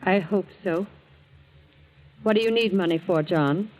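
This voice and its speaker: An actress playing a bitter, ailing rich wife who scolds sharply in a 1940s radio drama. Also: female voice, lowish voice, measured pace, close to an everyday voice, poor audio. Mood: weary suspicion. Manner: sharp, scornful, accusing. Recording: old 1940s radio broadcast.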